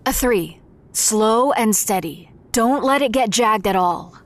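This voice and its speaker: An actress playing a brisk, no-nonsense healer in charge of magical treatment, curt and commanding, in an audio drama. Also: female voice, fairly high pitch, expressive.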